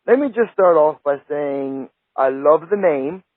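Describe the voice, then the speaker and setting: A man's voice: on the high side, lively intonation, unhurried, no room sound. A male radio host chatting casually with a guest, friendly and full of praise. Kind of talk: casual interview chat. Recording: tinny phone-like line, dry.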